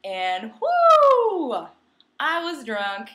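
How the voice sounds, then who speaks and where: A woman's voice: high-pitched, huge pitch swings, drawn-out words, fairly bright tone. A young woman from New York chatting casually to camera at her home desk, a little tipsy, talkative and giggly with lots of ums. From Long Island, New York. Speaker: spiritual vlogger. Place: home desk at night, candles.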